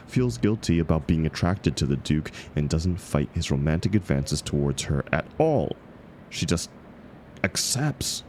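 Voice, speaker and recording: Background noise sits under a very low, creaky male voice. A man acts out a grumpy book club member in an audio roleplay, sarcastic and indignant, with pitch that swings widely as he rants. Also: darkish tone, exaggerated voice. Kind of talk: scripted audio roleplay acted as one side of a conversation.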